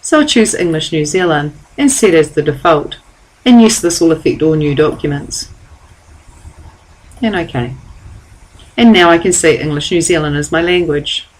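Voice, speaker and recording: A woman giving a calm, step-by-step software tutorial over a screen recording. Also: deep voice, normal speed, pauses often, rough recording. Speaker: software trainer.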